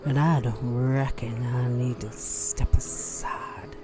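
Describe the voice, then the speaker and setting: A woman's voice: extremely low pitch, fairly even intonation, slow, music in the background. Languid, sugary Southern female bounty hunter acted by a woman. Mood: smug, threatening. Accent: American Southern. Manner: sugary, mocking, languid drawl. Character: sly, venomous female rival bounty hunter in Old West. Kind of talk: Western audio roleplay acting.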